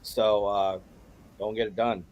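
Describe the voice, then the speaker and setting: A man's voice: on the low side, level tone, measured pace, darkish tone, a little hiss or hum. A man hosting a live-stream interview, asking playful quiz-style questions in an upbeat way. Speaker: host.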